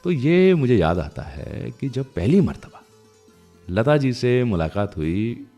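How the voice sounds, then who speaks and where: A man presenting a radio show in a conversational, nostalgic storytelling way, pausing now and then as he goes. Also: medium pitch, big pitch swings, warm tone, faint music behind.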